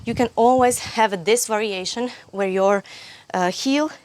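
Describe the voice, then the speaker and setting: A woman's voice: fairly high pitch, measured pace, a little mellow, no echo. A young woman from Croatia with a Croatian accent, teaching a yoga pose step by step outdoors on a lapel mic, patient and careful, often restarting phrases. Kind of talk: yoga tutorial instruction. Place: sunny outdoor stone terrace. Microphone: clip-on lapel mic.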